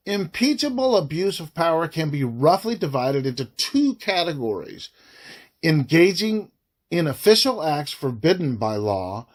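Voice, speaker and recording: A man reads a formal report aloud, phrasing it deliberately and emphatically with frequent pauses. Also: a little high. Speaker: narrator reading a report aloud.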